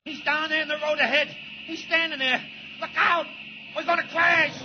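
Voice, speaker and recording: A man's voice: very high voice, steady tone, moderate pace. An actor playing a cowardly, terrified henchman in a 1940s radio drama, babbling frantically and repeating himself. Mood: panicked. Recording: muffled noisy old radio recording.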